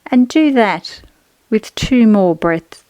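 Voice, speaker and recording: A woman guiding a relaxation meditation in a soothing, measured, instructional manner with pauses between phrases. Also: on the high side, animated, mellow, dry recording, rough recording. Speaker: yoga nidra guide.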